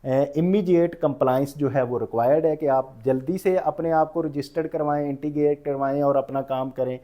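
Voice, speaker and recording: A man's voice: on the high side, moderate pace, muffled recording. A man with a Pakistani accent lecturing on rules as an instructor, explaining didactically and restating each point. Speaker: tax lecturer.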